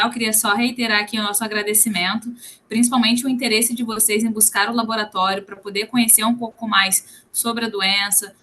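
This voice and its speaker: A woman's voice: on the high side, pushed and bright, slight background noise. A woman, a regional manager, who thanks the people on an online meeting in a formal corporate tone. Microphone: video call.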